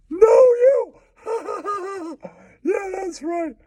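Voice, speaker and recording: A man voicing a giggly, foul-mouthed cartoon mouse puppet in squeaky falsetto, teasing and pitching in a comic puppet show. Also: darkish tone, no echo, exaggerated voice. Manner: giggly, repeats taunting catchphrases.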